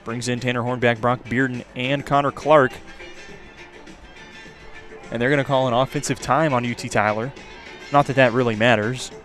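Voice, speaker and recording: A man's voice: medium pitch, a bit bright. A male play-by-play announcer with a mid-range voice delivers live baseball commentary for a radio broadcast. He speaks into a broadcast mic at an outdoor ballpark, with music in the background.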